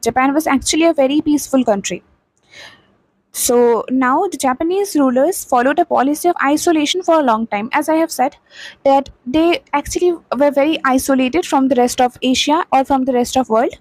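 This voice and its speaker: High-voiced Indian woman teaching history. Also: a little fast, a little mellow, dry recording, rough recording. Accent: Indian English. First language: not Hindi. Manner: explains plainly, repeats key points with frequent "okay" and "uh". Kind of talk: explaining a textbook chapter.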